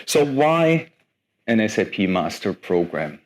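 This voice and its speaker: A man in his forties giving a lively conference talk as guest speaker, chatty and self-deprecating with many fillers. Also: lowish voice, measured pace. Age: 40s.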